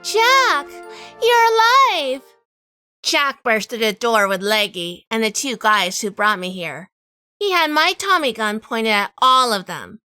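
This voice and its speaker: A woman's voice: a little high, sing-song, slow, bright, forceful tone, no echo, soft background music, heavily acted. A woman playing a shrewd, independent gun-toting gangster's wife in a noir radio drama. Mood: alarmed, then dramatic narration.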